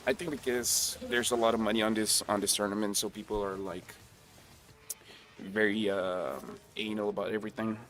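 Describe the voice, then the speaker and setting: A man's voice: on the low side, little pitch movement, moderate pace, a bit bright, far from the mic. A man from Malaysia, hosting a watch party stream and chatting casually with his viewers, reading out their comments. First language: English. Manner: chatty and offhand, reads out chat comments.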